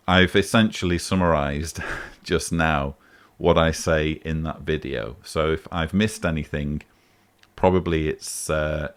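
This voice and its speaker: A man hosting a vlog, answering a voicemail in emphatic, argumentative casual commentary. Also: a bass voice. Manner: emphatic, argumentative, rising sharply on key points.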